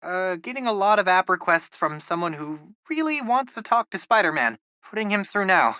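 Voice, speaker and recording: A man with an American accent voicing a geeky, excitable teenage boy, a loyal superhero's tech sidekick in a video game, talking informally and eagerly. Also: high-pitched, moderate pace, clear, resonant tone, no room sound, studio quality, exaggerated voice. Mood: casual briefing. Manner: informal, eager, nerdy tech talk with quips. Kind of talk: video game voice acting, casual radio-style chatter.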